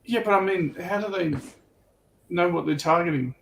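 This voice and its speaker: A man's voice: sits high, moderate pace, vocal fry, a bit bright, low-quality recording. A man co-hosting a livestream, chatting casually and asking questions.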